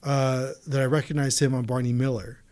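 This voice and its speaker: A man's voice: medium pitch, level tone, darkish tone. A man in his forties, a native Russian speaker who grew up in the Soviet Union, telling stories as a podcast guest with many restarts and trailing asides. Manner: rambling, halting storyteller with many restarts. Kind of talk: casual conversation.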